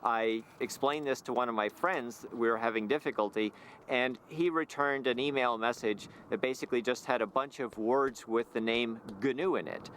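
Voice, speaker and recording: A company founder in an interview, recounting how the business started in a matter-of-fact way. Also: male voice, mid-range voice, normal speed, fairly bright tone, a little hiss or hum. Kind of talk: interview answers.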